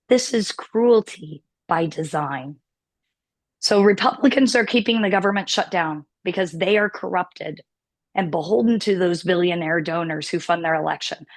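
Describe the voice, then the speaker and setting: A woman whose voice is hoarse and fading delivers an emphatic political rallying speech, pressing her points with repeated phrases. Her pitch sits in a middle range for a woman. The sound is dry, with no room echo. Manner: emphatic, repetitive rally cadence. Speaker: organization president hosting a virtual town hall.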